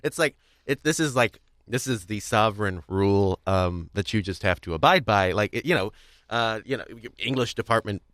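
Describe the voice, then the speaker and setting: A young man with a fairly low voice chats casually as a podcast host. He talks in long, winding, hedged sentences. The sound is very dry, with no room echo. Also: expressive, normal speed, fairly bright tone. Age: young adult. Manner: rambling, hedging, wordy. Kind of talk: casual chat about audio drama craft.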